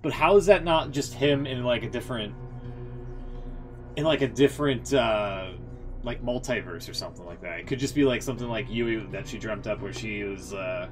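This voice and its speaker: A middle-aged man giving casual game livestream commentary, musing and halting, with some swearing. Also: mid-range voice. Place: indoor streaming setup. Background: music.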